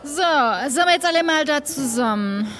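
A woman's voice: sits high, animated, moderate pace, a bit bright, faint music behind. A woman speaking as a player in an improvised audio adventure, acting out her part. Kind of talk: improvised role-play.

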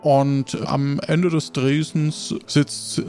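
A man with a fairly high voice narrates as game master over music. He goes slowly, halting between short phrases as he improvises each scene. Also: level tone, a little mellow. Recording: music under the voice.

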